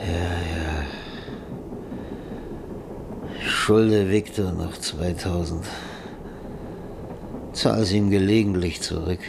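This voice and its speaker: A weary, creaky-voiced ailing writer. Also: male voice, sits low, steady tone, takes it slowly, background noise, heavily acted. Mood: exhausted, fading. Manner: weary, groaning, sighing, breaking into whispers. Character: ailing, exhausted middle-aged male novelist, irritable and wistful. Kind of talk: German radio drama acting.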